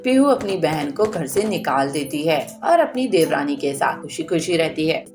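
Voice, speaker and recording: A woman's voice: low voice, big pitch swings, normal speed, darkish tone, faint music behind. A woman narrating a scripted family tale for a cartoon as a storyteller. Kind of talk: scripted cartoon storytelling, voicing dialogue too.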